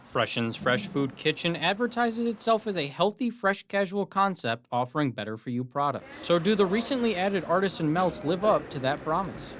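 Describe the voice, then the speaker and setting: A man narrating a student television news report in a clear, even delivery. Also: high-pitched, normal speed, slight background noise.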